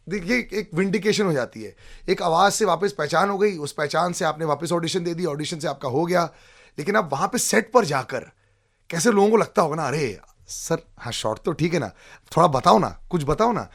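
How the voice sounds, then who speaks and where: A man's voice: fairly high pitch, animated, normal speed. A man with an Indian accent hosting a casual interview, informally switching between Hindi and English. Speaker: radio interview host.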